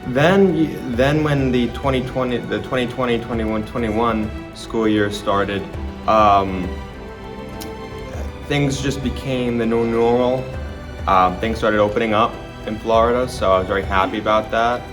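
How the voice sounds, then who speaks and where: A man's voice: mid-range voice, fairly even intonation, unhurried. Reflective eighteen-year-old guy, hesitant. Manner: hesitant, reflective, with fillers and restarts. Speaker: student filmmaker and interviewee. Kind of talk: documentary interview. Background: background music.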